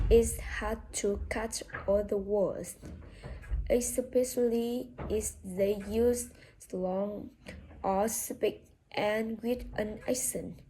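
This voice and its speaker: A woman's voice: mid-range voice, warm tone, a little hiss or hum, poor audio. A woman with a Vietnamese accent, a native Vietnamese speaker, giving a prepared monologue for a speaking exam, careful and recited, with a few restarts. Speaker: student taking a speaking exam.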